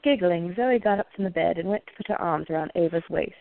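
A woman with a creaky voice reading scripted story narration for a radio play. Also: fairly low pitch, fast. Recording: narrow phone-like, dry sound.

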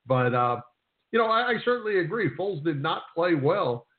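A man co-hosting a sports radio show, talking casually and haltingly, often repeating words as he works through his point. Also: on the high side, very animated. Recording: roomy, thin phone-like sound.

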